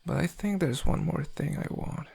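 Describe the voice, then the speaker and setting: Smug, seductive male criminal played by a man for ASMR roleplay; husky, slightly higher-set voice, intimate drawl with menace underneath. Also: moderate pace, darkish tone, full character voice. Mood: threatening. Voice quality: gravelly, husky. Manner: intimate, teasing, drawling, often dropping to breathy murmurs. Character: suave, menacing male thief, flirtatious and smug.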